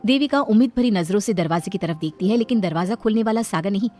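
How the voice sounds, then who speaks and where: A woman's voice: medium pitch, fast, warm tone, faint music behind. A woman reading out a scripted moral story as its narrator.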